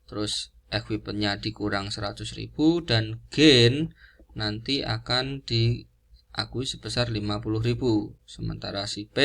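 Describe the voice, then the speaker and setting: A man's voice: on the low side, vocal fry, fairly bright tone. A man giving an unscripted lesson, explaining step by step in a didactic way and repeating key figures. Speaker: accounting lecturer.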